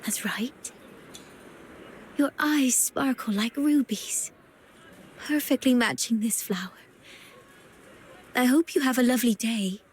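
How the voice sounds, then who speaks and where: A woman playing a gentle, wistful young florist in a video game, speaking politely and hesitantly. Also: on the high side, slow, long pauses, breathy edge. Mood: soft, wistful. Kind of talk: soft dramatic video game voice acting.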